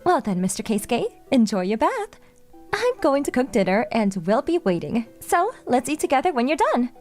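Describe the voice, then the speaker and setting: A woman's voice: high-pitched, very animated, normal speed, darkish tone. A woman voicing a sweet, polite young female idol with an earnest, coaxing way of talking, in a manga dub. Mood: teasing. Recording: music under the voice.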